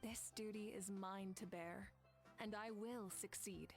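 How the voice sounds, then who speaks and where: A woman playing a solemn, duty-bound war-goddess ruler in a video game, speaking in a measured, grave way. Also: mid-range voice, steady tone, natural voice. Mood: grave, resolute. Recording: background music under the voice.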